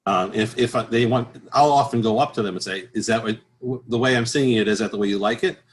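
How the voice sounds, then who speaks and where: Man explaining in halting conversational phrases. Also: on the low side. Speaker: interview guest, professional bass singer and choir director.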